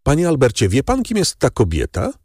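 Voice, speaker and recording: A man voicing gossiping ladies and gentlemen at the opera in a raised, animated voice. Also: medium pitch, fairly quick, husky, no room sound, studio quality, pitched above the performer's own voice. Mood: chatty.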